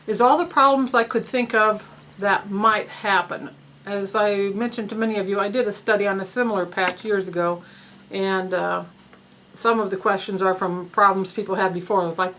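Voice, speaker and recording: A woman's voice: mid-range voice, a bit bright, poor audio. A woman coordinating a research study, patiently explaining participant forms step by step, slightly stuffy-nosed, with occasional ums.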